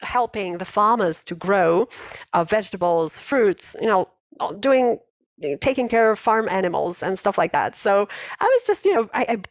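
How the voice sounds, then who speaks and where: A woman's voice: mid-range voice, sing-song, normal speed, no room sound. A woman in her forties hosting a casual online English class over a video call, chatty, laughing often and restarting her sentences. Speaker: host of an English conversation class.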